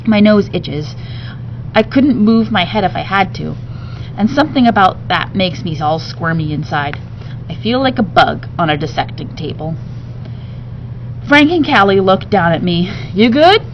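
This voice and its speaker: A woman's voice: lowish voice, animated, normal speed. Muffled woman reading a story aloud. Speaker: teacher reading a book aloud. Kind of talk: expressive read-aloud narration of a children's novel. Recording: muffled home recording, faint background noise.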